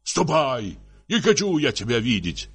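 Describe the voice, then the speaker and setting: A man's voice: medium pitch, very animated, measured pace, bright, forceful tone, slightly rough, voice pushed up, full character voice. A man voicing a tipsy, jealous, bullying husband who scolds in sputtering, tearful exclamations for a story. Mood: furious. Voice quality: muffled, tearful, raised voice.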